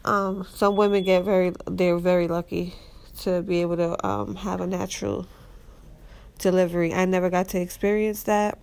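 Stuffy-nosed woman with a gravelly voice. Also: on the low side, little pitch movement, normal speed, a little mellow. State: stuffy nose from a cold. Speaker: guest. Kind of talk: casual personal storytelling.

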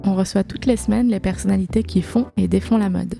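A woman's voice: medium pitch, fairly even intonation, a little fast, creaky, mellow, soft background music. A woman hosting an interview show, reading a scripted introduction and then chatting casually with short, friendly interjections. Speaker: host.

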